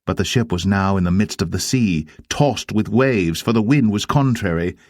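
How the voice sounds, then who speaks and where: A man narrating dramatised scripture in a solemn, measured manner. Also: lowish voice, a little mellow, dry recording, clean studio sound.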